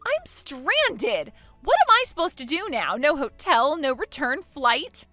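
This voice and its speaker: A woman plays a spoiled young woman with a shrill, whiny voice that jumps high and sharp. She sounds loud and entitled, and her pitch swings wildly. Faint music sits under her voice. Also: big pitch swings, measured pace, no echo, full character voice. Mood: whining.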